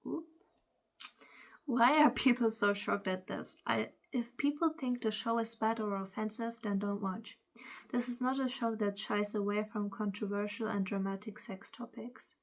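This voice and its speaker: Hesitant woman with non-native English accent. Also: medium pitch. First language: not English. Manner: hesitant, self-correcting, stumbles over words. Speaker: commentary video host. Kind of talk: casual opinion commentary, reading posts and articles aloud.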